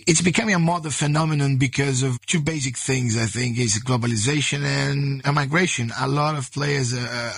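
A man's voice: mid-range voice, creaky, a bit bright, husky, dry recording. A man from Brazil, a journalist, talking casually in an interview with a sore voice and a rambling, restarting manner. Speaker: journalist guest.

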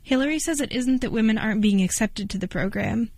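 A woman radio reporter delivering steady, measured scripted narration. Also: fairly high pitch, dry recording.

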